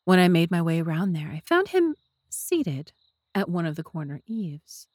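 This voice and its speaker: A woman's voice: fairly low pitch, big pitch swings, moderate pace, darkish tone, no echo, studio quality. A woman reading scripted story narration as the narrator of a dramatised audiobook.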